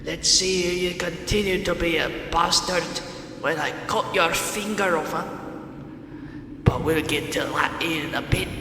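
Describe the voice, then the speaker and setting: A man's voice: a little high, echoey room, big-room sound, over music. A man playing a menacing medieval torturer with a Scottish accent and choked consonants, taunting and threatening in an improvised roleplay. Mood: taunting menace. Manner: taunting, threatening, drawn-out delivery.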